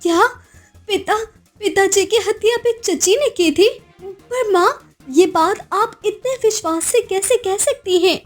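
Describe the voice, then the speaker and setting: A woman voicing an innocent, devoted young orphan girl in an animated moral story, emotional, pleading and halting. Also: very high-pitched, faint music behind. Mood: tearful, shocked. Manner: pleading, tearful, halting repetitions.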